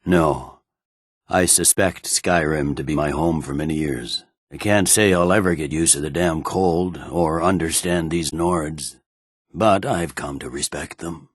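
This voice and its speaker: An actor voicing a stern, battle-hardened Imperial general in a fantasy video game, with a curt and commanding manner. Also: male voice, a bass voice, a little slow, dry recording, clean studio sound. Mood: grave, measured. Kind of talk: video game character dialogue.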